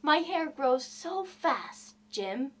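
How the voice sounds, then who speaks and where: A woman's voice: sits high, very animated, drawn-out words, fairly bright tone, recorded from a distance, heavily acted. A woman voicing a devoted, eager young wife in a storybook reading, earnest and gushing. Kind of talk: storybook character dialogue.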